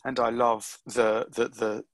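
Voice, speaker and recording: Gravelly-voiced man, thoughtful actor interview. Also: medium pitch, flat delivery, slow, fairly bright tone, no room sound. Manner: reflective, with many ums and restarts. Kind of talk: relaxed interview answers.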